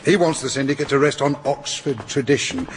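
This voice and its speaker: An actor playing an opinionated male university lecturer, articulate, measured and slightly catty, in a radio drama. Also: mid-range voice, slight background noise, natural voice. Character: opinionated male Oxford academic from Yorkshire. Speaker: university lecturer being questioned. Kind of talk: naturalistic radio drama acting.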